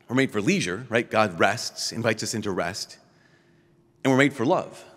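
A middle-aged man, a priest, giving an animated keynote at a podium, full of rhetorical questions and quick restarts. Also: medium pitch, in a large hall. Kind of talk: lively conference keynote. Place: large conference hall, at a podium. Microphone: podium microphone.

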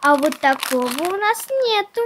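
A female host chatting casually in a toy unboxing video, showing things step by step in a simple, halting way. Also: high-pitched, animated, a bit bright, a little hiss or hum. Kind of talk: casual unboxing vlog chat.

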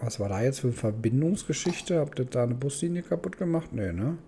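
Mellow man thinking aloud over a game. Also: mid-range voice, lively intonation, fairly quick, a little hiss or hum. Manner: thinking aloud, muttering questions to himself. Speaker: gaming host. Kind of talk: casual let's play commentary.

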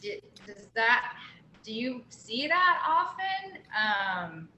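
Slow-speaking bright woman in an echoey room. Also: a little high, sing-song, slight background noise. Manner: slow, inquisitive, brief acknowledgments. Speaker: moderator. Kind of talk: interviewing a guest speaker.

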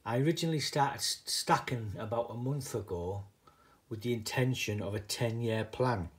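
A middle-aged man from the northeast of England speaks in a Teesside accent at a medium pitch. He hosts a casual home vlog without a script, wandering off the point and back again as he goes. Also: normal speed. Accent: northeast English, Teesside. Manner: rambling, digressive. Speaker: video host, hobbyist coin stacker.

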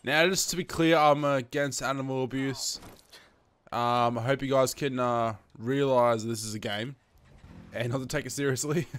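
A man's voice: mid-range voice, moderate pace, a bit bright, smooth. Young Australian man, sniffly gaming commentator. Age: 20s. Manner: sweary, chatty, addressing viewers as guys. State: sniffly from hay fever. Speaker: gaming video host. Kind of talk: casual gameplay commentary.

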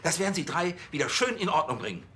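A man's voice: fairly high pitch, big pitch swings, fast, sharp tone. An actor playing an exasperated, sarcastic police chief who scolds his officers in a biting way, in a TV crime comedy.

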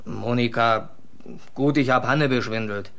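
An actor playing a casual, flirtatious, slightly evasive newcomer in a German radio drama. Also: male voice, mid-range voice, unhurried, gravelly, fairly bright tone. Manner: offhand, flirtatious.

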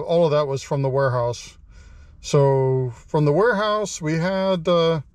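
A man's voice: fairly high pitch, darkish tone, dry recording. Easygoing man narrating a scrapyard vlog. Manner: easygoing, rambling, frequent uh fillers and self-corrections. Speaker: scrap metal vlogger. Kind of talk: casual first-person vlog narration. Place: outdoors at a scrapyard, then inside a car.

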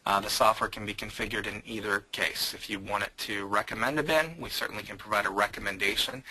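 A man presenting software in a webinar, answering audience questions with frequent hesitations. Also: fairly low pitch, steady tone, fast, husky, dry recording, rough recording. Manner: hesitant, many um fillers.

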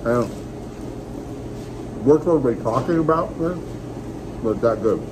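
A man's voice: medium pitch, lively intonation, a little slow, background noise. A man in his forties hosting a casual restaurant vlog, enthusiastic and joking while he eats at a table in a small restaurant, filmed on a phone. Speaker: radio host. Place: small restaurant dining room, indoors. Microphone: phone camera on table.

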